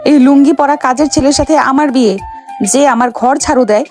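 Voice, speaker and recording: High-voiced, haughty young heiress played by a woman. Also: fairly even intonation, brisk, darkish tone, faint music behind, natural voice. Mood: outraged disbelief. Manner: imperious, scolding, indignant. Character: haughty, hot-tempered rich young woman, a bossy heiress. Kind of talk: audio love story dramatic acting.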